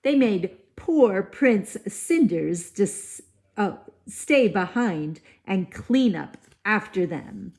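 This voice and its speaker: A woman teacher reads a picture book aloud to young children. Her delivery is very unhurried and expressive, with wide swings in pitch and simple explanatory asides. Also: gravelly. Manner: deliberate, animated, simple child-directed phrasing.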